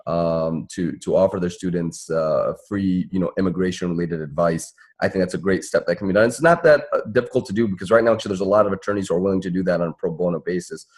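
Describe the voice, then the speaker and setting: A man with a deep, husky voice talks on a town hall panel. He gives earnest, exhorting advice, now and then repeating a word before moving on. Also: darkish tone. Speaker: panelist, civil rights attorney and activist.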